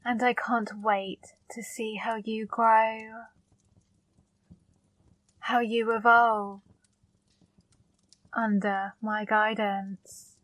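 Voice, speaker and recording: Casting: woman voicing a seductive female demon for ASMR, slow and level delivery, dry close sound. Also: on the high side, little pitch movement, dry recording, poor audio. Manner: slow, soft, commanding. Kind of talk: ASMR roleplay.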